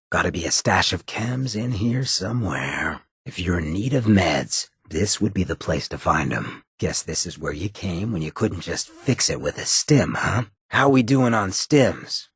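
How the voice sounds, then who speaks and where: A roguish irradiated ghoul from a video game, with an extremely husky, gravel-rough voice on the low side. He drawls slangy, wry remarks with cocky swagger, his pitch sliding around expressively, recorded close and dry. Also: a bit bright, no room sound, exaggerated voice. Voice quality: very raspy, gravelly. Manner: sly, laid-back, slangy swagger. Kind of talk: video game companion voice lines.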